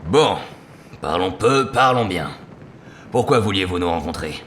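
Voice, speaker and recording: Behind clear background noise, a man plays a shady beggar with a low voice. He wheedles and stumbles over his words, in audio drama style. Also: expressive, measured pace. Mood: guarded. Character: wheedling male beggar, secretly a smugglers' lookout. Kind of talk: French audio drama acting. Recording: noisy, distant-sounding voice.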